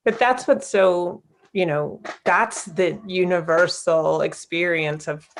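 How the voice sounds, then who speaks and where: A woman's voice: on the low side, slow pace. A woman speaking thoughtfully and reflectively in a panel conversation about art. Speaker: panel moderator.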